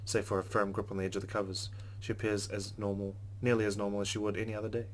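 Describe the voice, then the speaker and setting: A man's voice: on the low side, level tone, fast talker, a little hiss or hum. A man hosting a let's play, reading story narration aloud and adding casual commentary.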